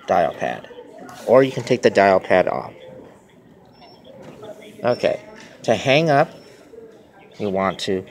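A man explaining something step by step in plain, halting, repetitive short phrases, like a simple tutorial. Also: deep voice. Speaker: tutorial presenter. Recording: noisy handheld phone recording with clicks.

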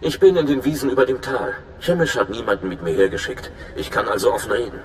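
Earnest low-voiced agent played by an actor, echoing. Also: male voice, quick pace, slight background noise, natural voice. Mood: calm, confiding. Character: earnest young male undercover agent, conscientious and worried. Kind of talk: dramatic video game acting.

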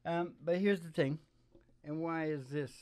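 A middle-aged man hosting a music show with playful, unhurried, rambling stage patter full of joking asides. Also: fairly high pitch, a little mellow. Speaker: host of a music and comedy show. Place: small dim curtained stage room. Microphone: stand microphone. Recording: guitar playing behind the voice. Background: acoustic guitar.

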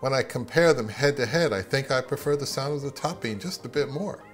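A man's voice: mid-range voice, a little fast, faint music behind. A middle-aged man, narrating a product review of audio gear.

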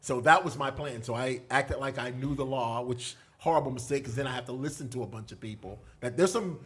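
Husky-voiced man telling stories. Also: medium pitch, brisk. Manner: animated, gestures a lot while telling prison stories. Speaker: podcast guest. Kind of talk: casual storytelling. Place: small studio with foam panels. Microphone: boom mic on table.

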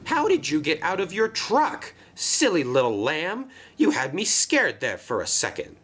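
A man voicing a chatty, naive, excitable female engine in a children's train story, gushing and easily flustered. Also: fairly high pitch, unhurried, breathy edge, pitched above the performer's own voice, heavily acted.

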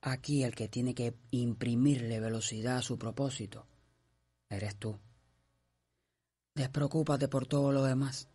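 A man's voice: mid-range voice, fairly even intonation, measured pace, long pauses, mellow, husky. An actor playing a middle-aged, scheming and self-assured father in a radio drama, with a Cuban accent and a commanding manner. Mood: smug, confident. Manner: confident, commanding.

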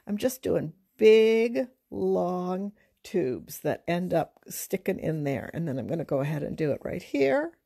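A middle-aged woman giving an informal drawing lesson, thinking aloud in a halting, unhurried, conversational way as she works. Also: lowish voice, sing-song, gravelly, mellow. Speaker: art teacher. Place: indoors at a drawing table.

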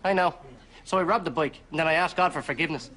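A man's voice: fairly high pitch, quick pace, pushed and bright. A young man playing a cheeky Irish chancer with a Dublin accent in a television sitcom. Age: young adult.

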